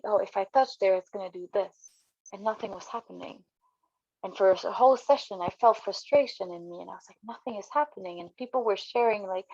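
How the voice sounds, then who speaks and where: A woman's voice: medium pitch, a little mellow, dry recording, dull audio. A woman sharing her experience of energy work casually, with many fillers and quotes of her own thoughts. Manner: chatty, full of "like" and self-quotes.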